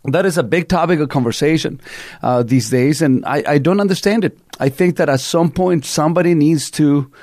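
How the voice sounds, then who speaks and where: A middle-aged man from Chile with a Chilean Spanish accent, hosting a talk radio show in an animated, rambling storytelling way. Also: on the high side, moderate pace, no room sound, studio quality. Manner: animated storyteller, many fillers and restarts. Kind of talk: casual opinionated talk radio chat.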